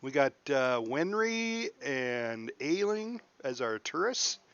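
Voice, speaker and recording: A man's voice: medium pitch, sing-song, takes it slowly, fairly bright tone, clear, resonant tone, no echo, dull audio. A man streaming a space game, chatting casually with his chat, joking and laughing often. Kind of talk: casual live gameplay commentary.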